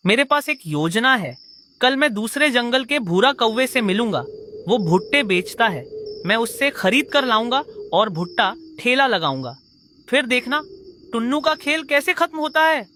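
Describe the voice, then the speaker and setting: A man's voice: extremely high pitch, big pitch swings, moderate pace, bright, forceful tone, a little hiss or hum, exaggerated voice. A man voicing a jealous, boastful male crow villain in a children's cartoon. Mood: scheming, boastful. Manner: boastful, declamatory. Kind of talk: Hindi kids' cartoon dialogue.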